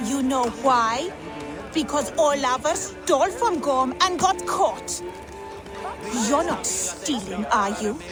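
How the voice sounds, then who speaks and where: An actress playing a suspicious, sharp-tongued Norse village woman in a video game, bossing and scolding. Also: sits high, lively intonation, takes it slowly, music in the background, full character voice. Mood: suspicious, bossy.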